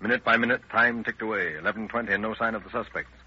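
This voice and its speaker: A man's voice: on the low side, fast talker, a little hiss or hum. A man narrating an old radio crime drama in a dramatic, scripted style. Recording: muffled old radio recording.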